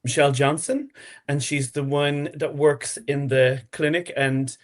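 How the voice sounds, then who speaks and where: A man's voice: a little high, fairly even intonation, a little slow. A man speaking as a podcast guest in casual, conversational explanation. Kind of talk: casual chat.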